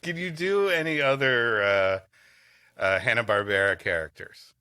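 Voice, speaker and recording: A man's voice: medium pitch, moderate pace, bright, forceful tone, clear, resonant tone, no room sound. A man co-hosting a comedy podcast, improvising wry quips and asides in casual banter. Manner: quipping, wry.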